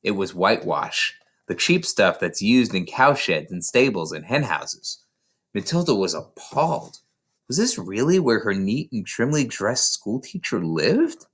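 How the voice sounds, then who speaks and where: A man reads a children's novel aloud as an expressive storyteller. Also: on the low side, measured pace. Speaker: narrator, reader of a children's novel.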